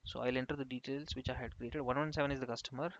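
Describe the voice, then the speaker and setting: A man giving an unscripted software tutorial, explaining step by step in halting phrases as an instructor. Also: medium pitch, fairly even intonation, dry recording. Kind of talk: screen-share tutorial narration, unscripted. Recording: dull, lo-fi close microphone.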